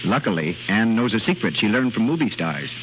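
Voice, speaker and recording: A man's voice: lowish voice, big pitch swings, fairly quick. An American man announcing and selling in polished, persuasive 1930s radio style. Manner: polished, persuasive sales delivery. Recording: muffled, noisy 1930s radio recording.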